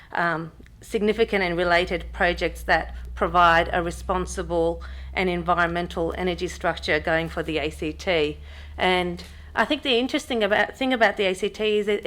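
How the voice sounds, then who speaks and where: Woman, lowish pitch, relaxed, frequent ums, business workshop speaker. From Pakistan. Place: conference room with audience.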